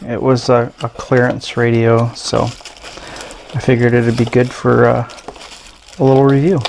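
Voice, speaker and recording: A middle-aged man with an American accent talks through a product in a casual, unscripted style. His pitch is average and his manner is low-key and a little hesitant. He is recorded indoors at a tabletop, with clear noise and clicks behind him. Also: level tone, unhurried, a little mellow, poor audio. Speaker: gadget reviewer. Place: small indoor room at a tabletop.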